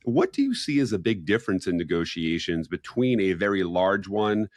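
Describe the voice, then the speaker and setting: A man's voice: low-pitched, very animated, normal speed, dry recording, clean studio sound. A man hosting a podcast, interviewing a guest with eager, rambling questions that he sometimes restarts. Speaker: podcast host.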